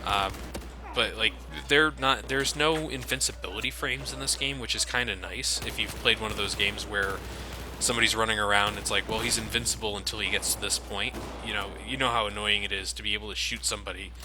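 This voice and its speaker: A man's voice: on the low side, fairly even intonation, fairly quick. A man giving casual, wry let's play commentary over a video game, swearing now and then. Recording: noisy game sound under commentary.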